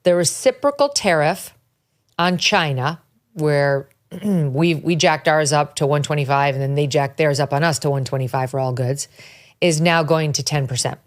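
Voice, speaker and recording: Woman talk show host with a low voice, casual and chatty. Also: normal speed. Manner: casual, conversational. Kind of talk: studio panel discussion.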